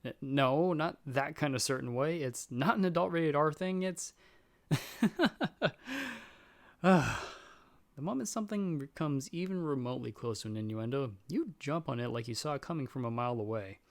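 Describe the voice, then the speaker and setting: A man's voice: mid-range voice, moderate pace, natural voice. A man playing a nervous, friendly young guy in an audio roleplay, talking in a casual, hesitant, self-deprecating way. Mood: sheepish, hesitant, then mock exasperated. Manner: chatty, hesitant, sheepish. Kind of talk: casual one-sided roleplay dialogue.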